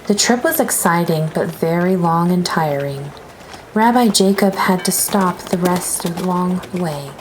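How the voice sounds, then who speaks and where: A woman narrates a children's story in a slightly low voice. Her storytelling is expressive, with some drawn-out phrases. There is faint noise in the recording.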